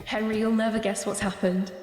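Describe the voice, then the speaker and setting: A woman's voice: mid-range voice, flat delivery, fairly quick, a little mellow, close to an everyday voice. A woman playing an earnest young poet running as a political candidate in a radio play. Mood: modest, unsure. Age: young adult. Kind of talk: radio drama acting. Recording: echoey hall, music behind.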